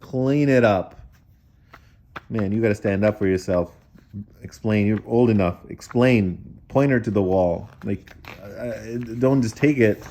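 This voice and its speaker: Low-voiced, animated woman reading a story. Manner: expressive, incredulous commentary. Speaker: storybook reader. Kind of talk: read-aloud children's story with casual asides.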